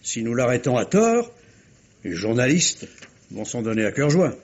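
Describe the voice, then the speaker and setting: Expressive, husky police inspector, muffled recording. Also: male voice, medium pitch, normal speed. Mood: cautious, explanatory. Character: patient, methodical male police inspector questioning suspects. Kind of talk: 1950s French radio mystery acting. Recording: muffled vintage radio drama recording.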